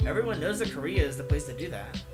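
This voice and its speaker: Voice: mid-range voice, very animated, quick pace, over loud music, natural voice. A video maker voicing an eager, flustered young foreign gamer in a visual novel, stammering and awkward. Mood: earnest. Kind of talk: visual novel character read-aloud.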